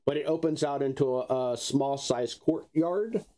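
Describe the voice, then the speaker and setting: Guy game mastering a tabletop session, mellow relaxed voice, describes things casually and a bit haltingly. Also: mid-range voice. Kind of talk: improvised narration of a tabletop game.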